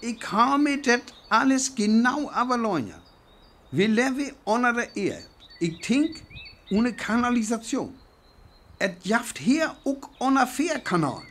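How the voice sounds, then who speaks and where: A husky, bitter survivor played by a man with a North Frisian accent rambles on in a radio play. His delivery is slow and intense, yet the pitch swings widely as he schemes. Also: big pitch swings, fairly bright tone, exaggerated voice. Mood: scheming. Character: bitter, agitated survivor scheming for life underground.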